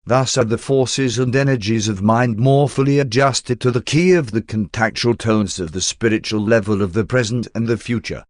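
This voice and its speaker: A man reading a spiritual text as an audiobook narrator in a formal, measured and solemn manner. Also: medium pitch, dry recording, clean studio sound.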